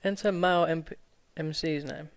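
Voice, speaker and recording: A man's voice: fairly high pitch, steady tone, moderate pace, over loud music. A man hosting a let's play, reading a visual novel aloud with stumbles, self-corrections and laughs.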